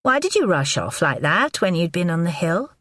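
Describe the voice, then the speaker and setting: A woman reading the part of a brisk, biting woman confronting her lover in an audiobook. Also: lowish voice, big pitch swings, fairly bright tone, no echo. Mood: angry. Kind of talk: audiobook character voice.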